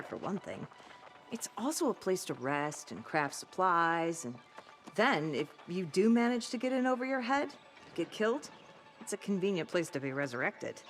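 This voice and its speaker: A guarded female survivalist from a video game. She is blunt and wry, and her fairly low voice swings freely up and down as she talks. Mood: wary, frank. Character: guarded, blunt lone-wolf woman survivalist. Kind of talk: video game character acting.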